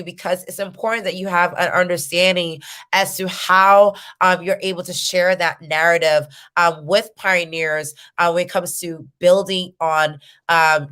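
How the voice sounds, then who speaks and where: A woman's voice: on the low side, a bit bright. A woman in her thirties with an American accent, presenting online as a startup founder in an earnest, emphatic, motivational way. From United States. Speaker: startup founder and workshop speaker. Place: small indoor room on a video call.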